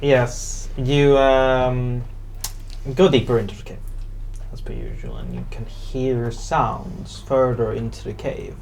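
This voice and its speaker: A man improvising narration as game master of a tabletop roleplaying game, in a hesitant, pausing way. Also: medium pitch, animated, vocal fry, a little mellow. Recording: faint background noise.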